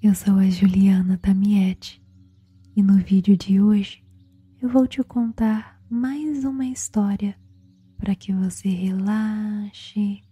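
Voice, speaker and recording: A woman with a Brazilian accent whispers in a soothing ASMR style as she guides relaxation and tells a bedtime story, with long pauses. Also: mid-range voice, flat delivery, warm tone, no echo. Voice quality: breathy, whispery. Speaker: guided meditation host and storyteller. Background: background music.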